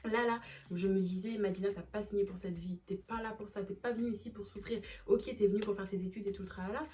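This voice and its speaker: A woman's voice: medium pitch, fast talker, a little hiss or hum. A young woman from Marseille with a Marseille accent, telling a casual storytime vlog in a chatty, slangy way. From Marseille, southern France. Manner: chatty and expressive, slangy, with many fillers. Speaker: vlogger.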